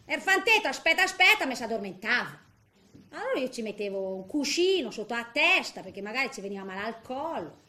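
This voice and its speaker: A woman's voice: on the high side, big pitch swings, fast, sharp tone. An actress playing a feisty, bitter, garrulous widow in her eighties in a theatrical comic monologue, grumbling colloquially in with little interjections. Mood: tender, sad. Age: 80s.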